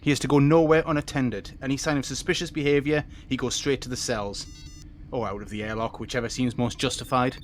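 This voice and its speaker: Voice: medium pitch, steady tone, fairly quick, slight background noise, natural voice. An actor playing a stern, suspicious space station principal and former security chief in an audio drama, speaking in a clipped, formal, authoritative way. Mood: commanding, suspicious. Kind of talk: science fiction audio drama acting.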